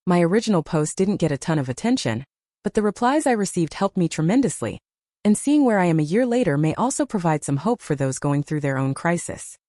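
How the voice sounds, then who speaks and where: Dark-voiced woman reading a list. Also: on the low side, big pitch swings, a little fast, dry recording, clean studio sound. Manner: numbered list read with a lift on each number. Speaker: narrator. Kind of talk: reading a reddit story aloud.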